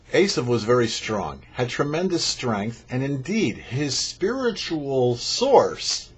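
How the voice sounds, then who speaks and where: A man's voice: mid-range voice, breathy edge. A man, a rabbi hosting a show, delivers prepared religious commentary in a didactic way, posing questions and then explaining.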